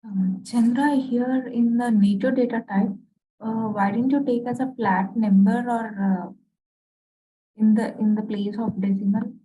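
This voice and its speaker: A woman trainee asking questions in an online software class, with hesitant, halting phrasing. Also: fairly high pitch, fairly even intonation. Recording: distant-sounding online call audio.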